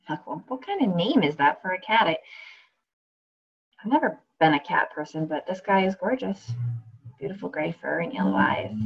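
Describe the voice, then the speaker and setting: An actress plays a housebound injured woman telling her own story with sarcasm and exasperation. Her voice is husky and swings expressively in pitch. The monologue comes through slightly dull, lo-fi sound. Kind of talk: dramatic monologue reading.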